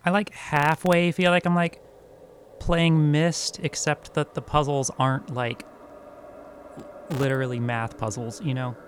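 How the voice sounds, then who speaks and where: Male RPG player, fairly high voice, thoughtful and casual, faint noise behind. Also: flat delivery, unhurried. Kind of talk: casual game chat.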